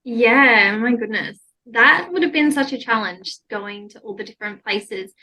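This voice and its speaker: A woman's voice: a little high, expressive, unhurried, pushed and bright, rough recording. A woman with an Australian accent, speaking as a podcast host in a friendly interview style. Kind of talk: friendly interview with a scripted introduction.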